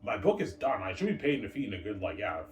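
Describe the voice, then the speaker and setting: A man's voice: on the low side, big pitch swings, fast talker, far from the mic. A man in his thirties talking casually and repetitively to his viewers, looping back on the same phrases. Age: 30s. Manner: repetitive, loose, addresses viewers as guys. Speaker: vlogger. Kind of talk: casual rambling monologue. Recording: echoey room, off-mic, background noise.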